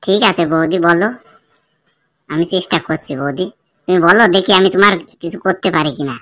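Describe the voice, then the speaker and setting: A woman's voice: on the low side, a little fast, a bit bright, lightly acted. Woman as placating young village man, cartoon style. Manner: questioning, placating. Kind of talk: comedy cartoon dialogue.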